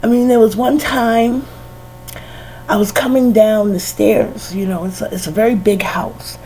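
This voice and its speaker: A middle-aged woman with a head cold, musing slowly and casually, sighing and laughing softly between many "you know"s. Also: medium pitch, steady tone, a little hiss or hum, poor audio. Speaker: vlogger. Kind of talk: casual reflective monologue.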